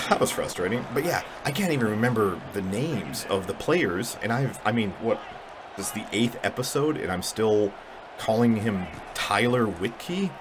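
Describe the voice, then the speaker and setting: Low-voiced man commentating a video game. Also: expressive, normal speed, background noise. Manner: mumbly, self-deprecating. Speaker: gaming channel host. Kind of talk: casual let's-play commentary.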